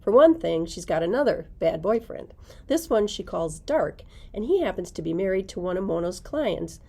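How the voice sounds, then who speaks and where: A woman's voice: on the low side, sing-song, normal speed, creaky, mellow. A middle-aged woman, a librarian, recommending books and reading their blurbs aloud to a camera in a library. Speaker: librarian presenting book picks.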